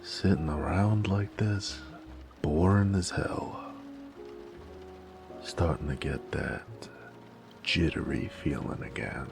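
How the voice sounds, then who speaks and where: Man playing a very deep, gravelly noir mobster. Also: slow, pauses often, heavily acted. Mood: bored, teasing. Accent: American. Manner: teasing, slangy tough-guy drawl. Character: flirty, cocky noir mafia wheelman and former cabbie. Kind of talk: romantic noir audio roleplay, intimate one-sided dialogue. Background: music.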